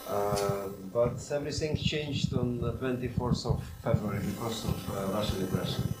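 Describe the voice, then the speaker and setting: A middle-aged man from Ukraine with a Ukrainian accent, answering interview questions in careful, slightly halting English. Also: medium pitch. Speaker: seminary president, guest. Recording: roomy, distant, noisy hall audio.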